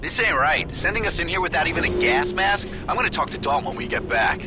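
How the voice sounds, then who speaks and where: Loud combat noise surrounds a man performing a cocky American gun-for-hire in a video game. He has a high male voice and talks in blunt, impatient slang. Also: lively intonation, quick pace, close to an everyday voice. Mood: irritated. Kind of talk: video game cutscene acting.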